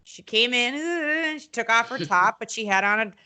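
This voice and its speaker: A woman with a bright, sharp voice talks on a podcast as a guest. She tells stories bluntly and animatedly, breaking into voices when she quotes people. Her talk runs on with fillers and restarts. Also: on the high side, fairly quick. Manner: animated storytelling, acts out quotes in other voices. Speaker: podcast guest, former wrestling interviewer and manager. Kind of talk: casual podcast interview.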